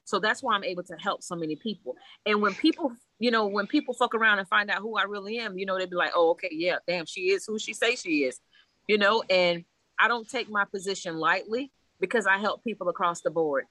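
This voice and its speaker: A woman's voice: medium pitch, fairly quick, no room sound, rough recording. Blunt, laughing woman from Haiti. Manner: animated and blunt, laughs often, swears freely. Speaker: Ifa priestess guest. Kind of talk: casual podcast conversation.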